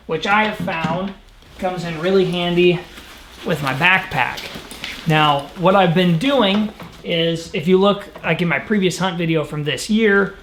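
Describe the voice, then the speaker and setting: Higher-voiced man reviewing gear in echoey room. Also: fairly bright tone, a little hiss or hum. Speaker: outdoor gear reviewer and hunter. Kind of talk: casual product review to camera. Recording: roomy echo.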